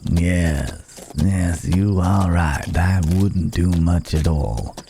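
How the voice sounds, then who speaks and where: A man playing a rugged, wandering cowboy stranger in an intimate ASMR roleplay, with folksy old-West phrasing, a low gravelly voice and hesitant pauses, gently teasing and caring. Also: noisy background, heavily acted. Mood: calm, caring. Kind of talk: ASMR roleplay, intimate acted monologue to the listener.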